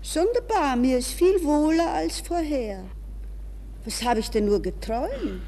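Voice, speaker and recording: A woman's voice: high voice, sing-song, a little slow, dark tone, in a large hall, full character voice. An actress playing a desperate, dreamy young woman from a good Viennese family in a theatrical interior monologue for a German radio play. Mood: dazed, wondering.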